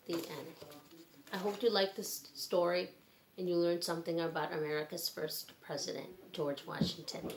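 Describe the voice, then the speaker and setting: A woman reading a children's book aloud in a simple, measured storytelling manner with pauses. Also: on the low side, level tone, slight background noise.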